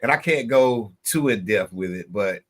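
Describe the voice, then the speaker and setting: A man, a pastor guest on a radio talk show, speaking haltingly and repeating words. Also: lowish voice, expressive, fairly bright tone.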